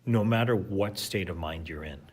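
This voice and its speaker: A man's voice: low-pitched, moderate pace, darkish tone, slightly rough. A man, a teacher, talking earnestly and thoughtfully as a podcast guest. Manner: earnest, thoughtful, with fillers and restarts. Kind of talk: reflective conversational talk.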